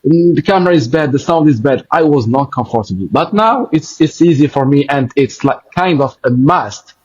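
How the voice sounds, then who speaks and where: Podcast host, male, Arabic accent, hesitant second-language English, breathy tone, muffled line. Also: a little high, lively intonation, normal speed, darkish tone. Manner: hesitant, fillers, searching for English words. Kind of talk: casual interview chat. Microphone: video call.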